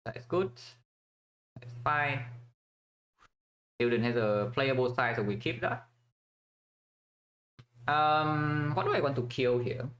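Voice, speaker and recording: A man's voice: medium pitch, slow pace, long pauses, fairly bright tone, low-quality recording. A man from Vietnam giving casual commentary while playing a strategy game, thinking aloud with many fillers and restarts.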